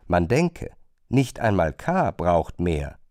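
A man's voice: low voice, big pitch swings, slow pace, a little mellow, dry recording, clean studio sound. A man narrating a literary radio play.

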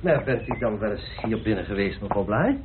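A man's voice: lowish voice, expressive, brisk, slight background noise, natural voice. An actor playing a self-assured, talkative, persuasive man in a radio drama. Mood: measured, polite. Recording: muffled, narrow-band old radio recording.

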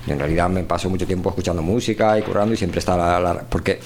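A man's voice: low-pitched, steady tone, racing, a little mellow, a little hiss or hum. A man with a Spain accent hosting a radio chat in an informal, rambling way. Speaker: radio show host. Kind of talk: casual conversational radio chat.

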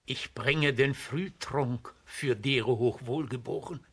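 An actor playing a nervous, shifty, obsequious innkeeper in a children's radio play, hesitant and husky. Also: male voice, mid-range voice, takes it slowly, a bit bright. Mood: fawning. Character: servile, nervous, shifty innkeeper and reluctant poisoner.

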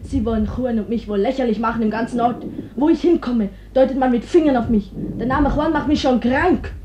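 An actress plays a young, scornful militia girl with a high voice. She is fiery and accusing, in the theatrical manner of a radio play. A faint hiss lies under the recording. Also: brisk, natural voice. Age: young adult. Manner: accusing, biting. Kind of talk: German radio play acting.

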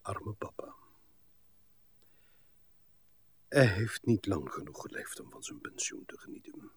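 An actor playing an irascible, officious stationmaster with a melancholy streak in a radio play. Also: male voice, huge pitch swings, moderate pace, slightly rough, whispered, full character voice. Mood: wistful. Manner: swings from shrill barking to muttering.

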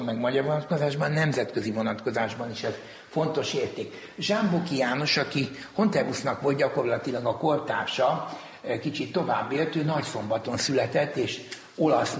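A man being interviewed as a museum director, explaining history in formal, deliberate, scholarly phrasing. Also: mid-range voice, a little hiss or hum. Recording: echoey, reverberant hall.

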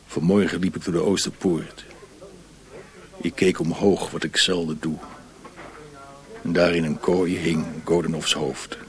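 Radio play role: burnt-out doctor on opium, low-pitched, bleak, muttering, fatalistic. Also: male voice, flat delivery, unhurried, long pauses. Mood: gloomy, shaken. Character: weary, opium-craving former asylum doctor, gloomy and haunted. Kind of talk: radio drama acting.

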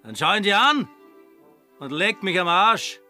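A man's voice: sits high, sing-song, a little slow, bright, forceful tone, roomy sound, faint music behind, heavily acted. An actor playing a brash, suspicious village man with a blunt, challenging manner in a radio drama. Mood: taunting, hostile. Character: brash, coarse, suspicious male village bully.

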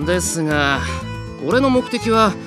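A man's voice: sits high, animated, measured pace, over music. A man voicing a mischievous male fairy who teases two brothers in a polite, smug way, in a drama CD. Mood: teasing. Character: mischievous male fairy guide, teasing and playful. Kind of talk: anime drama CD acting.

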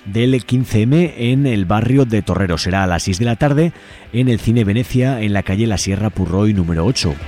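A man's voice: fairly low pitch, animated, moderate pace, mellow. A man hosting radio, reading out community event announcements in an informal, conversational way. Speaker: radio show host. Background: music.

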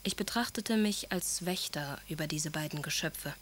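A woman's voice: low-pitched, normal speed, slight background noise, natural voice. An actress playing a wounded, devoted young aristocratic wife, recalling her marriage in a composed, literary way in a radio drama. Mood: troubled, confessional. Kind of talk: German radio drama monologue, memoir-like recollection.

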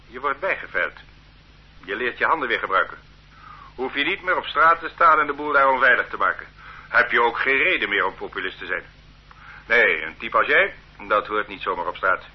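An actor playing a stern, condescending police officer who lectures a detainee in an authoritarian way in a radio drama. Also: male voice, fairly low pitch, expressive, moderate pace, long pauses, husky. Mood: dismissive, menacing. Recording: muffled old radio play recording.

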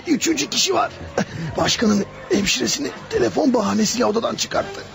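A man acting a brave, dutiful wounded policeman in a radio play, his voice strained and creaky. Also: very high-pitched, animated, quick pace, music in the background, full character voice. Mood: weak, urgent.

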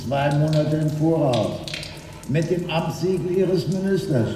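Man doing a sly prison guard, dark mellow voice, grudging, super echoey hall, radio play. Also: fairly high pitch, unhurried, lightly acted. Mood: stubborn, sly. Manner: grudging, sardonic, bureaucratic. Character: stubborn, venal male prison warder, cynical and unhurried. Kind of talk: German radio drama acting.

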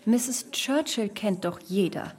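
An actress playing a soft-hearted young wife in a radio play, speaking earnestly with sympathy. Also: female voice, medium pitch, expressive, slow pace, husky. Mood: sympathetic.

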